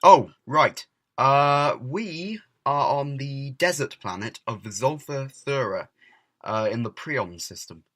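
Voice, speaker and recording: A man playing a distracted, wry, brooding time traveller in an audio drama, speaking haltingly. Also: medium pitch, lively intonation, a bit bright. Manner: halting, hesitant, full of uhs.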